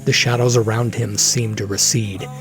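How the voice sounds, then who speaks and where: A man's voice: fairly low pitch, level tone, normal speed. A man reading scripted, dramatic fantasy narration as the storyteller of an audio drama. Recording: loud dramatic music behind.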